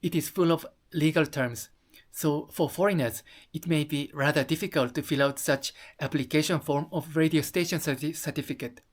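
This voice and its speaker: A person from Japan with a Japanese accent presenting an explanatory talk, speaking haltingly and often rephrasing. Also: medium pitch. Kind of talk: explanatory talk to camera audience.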